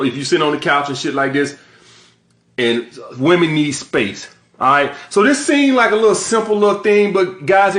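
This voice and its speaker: A man's voice: on the high side, a bit bright, reverberant. Blunt, profane man giving dating advice. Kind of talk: direct-to-camera advice monologue.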